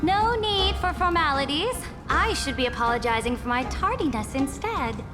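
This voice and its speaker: A woman playing a regal, commanding ruler in a fantasy game, speaking in formal, measured speeches. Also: sits high, expressive. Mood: stately, ominous. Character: regal, imperious female ruler addressing her dukes. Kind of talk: scripted fantasy game acting. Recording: echoey hall reverb, music behind.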